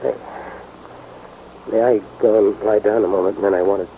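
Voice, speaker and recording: An actor playing a desperate, distraught young American husband, intense and halting, in 1940s radio acting style. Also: male voice, on the low side, steady tone, close to an everyday voice. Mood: grief-stricken. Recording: tinny, noisy 1940s radio recording.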